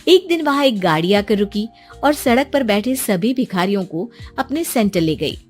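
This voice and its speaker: A woman's voice: mid-range voice, lively intonation, normal speed, faint music behind. A woman reading scripted story narration as a storyteller for a cartoon tale.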